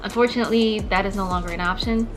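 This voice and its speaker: A young woman vlogging in a casual, chatty way, peppering her talk with fillers and restarts. Music plays clearly behind her voice. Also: mid-range voice, steady tone, rapid-fire. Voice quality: chatty, many likes and restarts. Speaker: vlogger, former teacher. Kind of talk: casual chat to camera.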